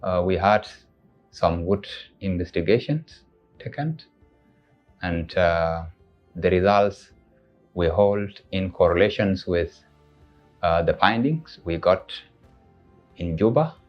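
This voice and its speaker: South sudanese guy, deep voice, halting testimonial, muffled audio w faint music. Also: expressive. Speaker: patient's husband giving a testimonial. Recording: dull audio under background music.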